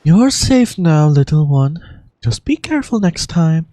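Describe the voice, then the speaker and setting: A performer voicing a gracious, protective female game guardian with a breathy British accent and a polite, reassuring manner. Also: medium pitch, very animated, measured pace, warm tone. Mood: gentle, reassuring.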